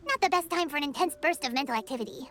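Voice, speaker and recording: A woman voicing a tiny, excitable, food-loving fairy companion in a video game, childlike and squeaky, with bubbly delivery. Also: very fast. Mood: sluggish, grumbling. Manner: bubbly, refers to self in third person.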